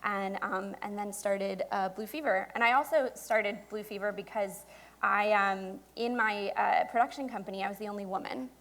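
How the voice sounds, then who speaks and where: A woman with a mid-range voice speaks as a founder on a panel. She recounts her career conversationally, pausing and hesitating often as she searches for the next phrase. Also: mid-range voice, a bit bright. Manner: halting, many hesitations. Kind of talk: conversational career storytelling on stage. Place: indoor event stage before an audience.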